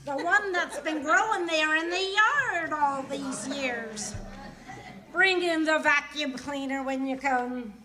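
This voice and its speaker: A woman's voice: sits high, slow pace, noisy background. A woman playing a patient, wry, dryly unimpressed wife in a 1940s radio comedy.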